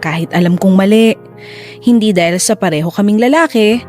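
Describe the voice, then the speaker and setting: A woman's voice: medium pitch, a little fast, a little mellow, faint music behind, lightly acted. A woman narrating a confessional love story in the first person for a radio drama, in a reflective manner. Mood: wistful, reflective.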